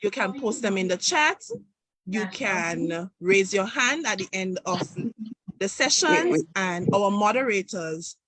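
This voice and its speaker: Female host, slightly husky, dry close sound, measured formal delivery. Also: medium pitch, animated, fairly bright tone, dry recording, poor audio. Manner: reads a prepared introduction, measured. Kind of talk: formal guest introduction.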